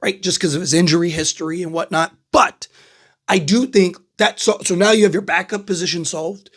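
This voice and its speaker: A man's voice: high-pitched, steady tone, brisk. A man who is losing his voice to a cold, hosting a casual solo basketball talk show and often tagging his points with "right?". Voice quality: frequent "right?" tags and filler words. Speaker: basketball podcast host. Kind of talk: casual solo sports commentary.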